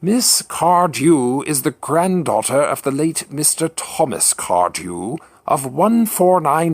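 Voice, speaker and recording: A man acts out an icily polite English gentleman in a comic stage reading. He speaks deliberately and sardonically, his pitch moving widely. The sound is close and dry. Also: no echo. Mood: pointedly formal.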